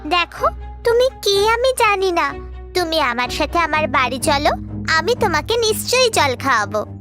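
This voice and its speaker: A woman's voice: very high-pitched, big pitch swings, normal speed. A woman voicing a brave, kind little village girl in a cartoon, earnest and childlike. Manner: earnest, bright childlike delivery. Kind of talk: cartoon voice acting. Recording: music underneath.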